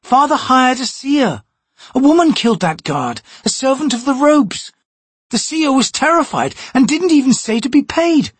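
An audiobook reader voicing a fiery, scornful young noblewoman, pitched far higher and louder than the narration, with a very breathy, husky tone. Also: very animated, normal speed, no echo, full character voice. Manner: shrill, scolding, much higher than the narration.

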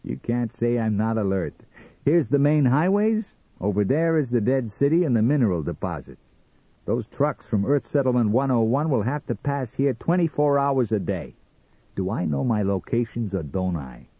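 A man voicing a brash, boastful, hot-tempered hot dog vendor in an audiobook story, loud and blustering. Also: medium pitch, big pitch swings, moderate pace, slightly rough, no room sound, highs cut off, pitched above the performer's own voice. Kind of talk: dramatised audiobook character reading.

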